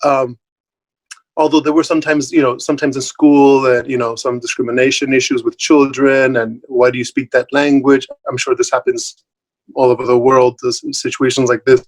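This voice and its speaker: A man's voice: mid-range voice, little pitch movement, moderate pace, poor audio. A man from Puerto Rico whose first language is Spanish, giving an informal talk over a video call in a friendly, loose way. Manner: friendly, loose, many fillers and restarts. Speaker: guest speaker. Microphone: online video call.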